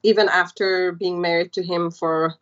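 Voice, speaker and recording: A woman's voice: lowish voice, flat delivery, moderate pace, dull audio. A woman in her thirties from Hungary, a podcast guest telling her story in casual, chatty talk with lots of fillers. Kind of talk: casual interview chat.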